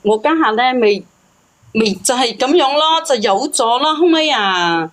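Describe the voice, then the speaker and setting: A woman's voice: sits high, lively intonation, measured pace. A woman with a Cantonese accent calling in to tell a personal story in a hesitant, defensive, pleading way. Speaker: phone-in caller. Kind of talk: personal confession, casual storytelling.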